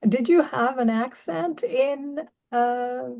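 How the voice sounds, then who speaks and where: A woman with a Canadian accent, whose first language is Bengali, interviews a guest at an unhurried pace. She backs her guest up with steady mm-hmms. Her audio sounds slightly dull and lo-fi. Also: sits high, vocal fry. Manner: encouraging, frequent mm-hmms. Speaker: podcast host. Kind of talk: conversational interviewing.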